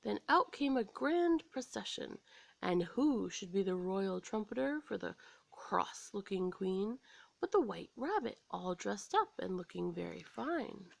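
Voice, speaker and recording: An American woman storyteller reading a scripted children's story aloud in an expressive, dramatic way. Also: mid-range voice, measured pace, vocal fry, no room sound.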